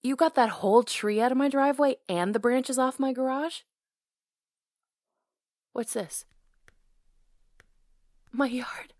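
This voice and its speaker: A woman's voice: high-pitched, no echo, studio quality. A woman acting in an intimate ASMR roleplay as a hair stylist, talking casually in a caring, teasing way.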